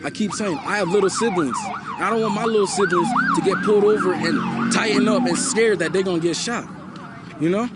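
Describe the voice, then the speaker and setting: A man's voice: high-pitched, wildly swooping pitch, a little fast, very noisy. A young man from Liberia, interviewed outdoors at a protest, speaking with passion and often repeating himself and asking "you know?". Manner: impassioned, repetitive, often asks "you know?". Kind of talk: street interview. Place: outdoors at a night protest near a mall.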